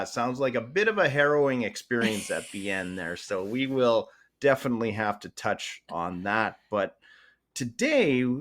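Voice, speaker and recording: A man's voice: medium pitch, a bit bright. A man co-hosting a podcast, chatting in an easygoing way. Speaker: podcast co-host. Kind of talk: casual podcast chat.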